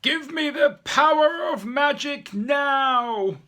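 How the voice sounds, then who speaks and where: A man performs an anxious young schoolboy from a children's story. The voice is pushed well above a grown man's, bright and tense. He stammers and pleads with eager urgency. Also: high voice, normal speed, pitched above the performer's own voice, full character voice. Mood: urgent.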